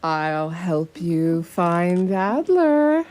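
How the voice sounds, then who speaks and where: Droll playful sidekick in a radio play, slow but really swoopy delivery. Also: female voice, on the low side, sing-song, a little mellow, exaggerated voice. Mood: teasing. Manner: droll, teasing. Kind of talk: live radio comedy play acting.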